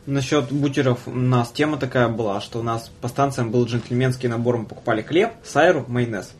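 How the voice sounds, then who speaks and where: A young man with a mid-pitched voice hosts a casual podcast. He tells stories in loose, slangy language like someone chatting with friends. There is faint ambient noise in the recording. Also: a little fast. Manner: informal, slangy storytelling. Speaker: podcast host.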